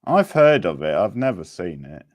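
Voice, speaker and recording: A man's voice: sits low, very animated, normal speed. A man casually hosting a live quiz, chatting with the players. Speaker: quiz host.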